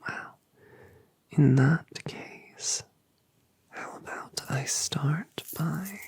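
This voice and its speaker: A woman voicing a colossal, gentle, motherly dragoness in a whispered audio drama, soothing and affectionate with genteel phrasing. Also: low voice, extremely slow, creaky, slightly rough, no room sound, full character voice. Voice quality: soft whisper.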